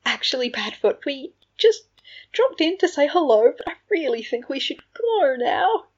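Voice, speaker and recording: A woman's voice: sits high, animated, slow, creaky, muffled recording, pitched above the performer's own voice, heavily acted. A woman voicing a sheepish, polite male wizard in a storybook, hesitant, awkward and placating. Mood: uneasy politeness.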